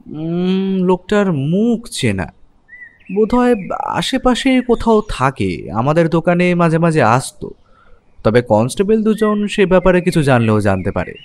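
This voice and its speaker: A man's voice: high-pitched, big pitch swings, measured pace, darkish tone, slight background noise. A man playing an earnest shop employee giving a witness account in an audio story, explaining with animation. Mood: hesitant.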